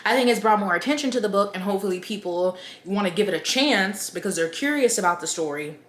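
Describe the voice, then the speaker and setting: A woman's voice: medium pitch, lively intonation, fast, a bit bright. Female book commentator, mid-range voice, casual and chatty with incredulous asides; noticeably echoey recording. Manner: wry, incredulous, conversational asides.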